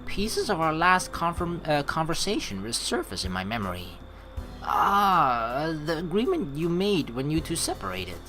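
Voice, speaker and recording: A man plays through a story game, reading aloud and commenting as he goes. His voice is bright and very expressive in pitch, with an informal, rambling delivery over game music. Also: normal speed. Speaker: let's player.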